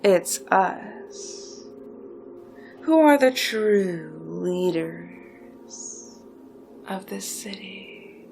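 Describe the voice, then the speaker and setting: A woman playing a conspiratorial, seductive supervillain recruiter in a theatrical roleplay, speaking with dramatic pauses and hushed, breathy passages. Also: on the low side, full character voice. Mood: hushed menace. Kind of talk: slow theatrical roleplay monologue, partly whispered. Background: music.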